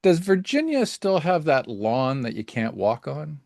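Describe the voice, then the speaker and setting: A man's voice: fairly high pitch, huge pitch swings, measured pace, vocal fry, a little mellow, dry recording, studio quality. A man from Canada hosting a podcast interview, asking questions in a friendly, hesitant way with many fillers. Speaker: podcast host.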